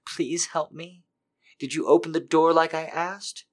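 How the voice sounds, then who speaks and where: Man reading as a pleading woman, breathy, half-whispered, submissive. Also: mid-range voice, fairly even intonation, fairly quick, pitched above the performer's own voice, natural voice. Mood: desperate begging. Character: pleading aroused adult woman, needy and submissive.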